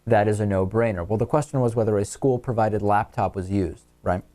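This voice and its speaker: A man's voice: on the low side, brisk, warm tone. A man with an American accent hosting a talk radio show, reading listener messages and commenting on them.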